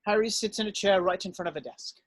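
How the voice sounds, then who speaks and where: Man, high voice, scripted narration, screenplay directions, dry room-free sound. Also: fast talker, no echo. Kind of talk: reading screenplay stage directions aloud.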